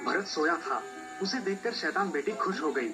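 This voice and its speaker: A man's voice: on the high side, lively intonation, normal speed. A man narrating a scripted children's folk tale as a storyteller. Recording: music behind the voice.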